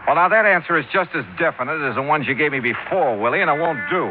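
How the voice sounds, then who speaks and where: An actor playing a shrewd hardboiled American insurance investigator in a 1950s radio drama, brisk and probing. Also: male voice, fairly high pitch, animated, phone-quality sound, soft background music, close to an everyday voice. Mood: probing, pressing. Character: hardboiled, shrewd male insurance investigator narrating his case. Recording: band-limited vintage radio recording.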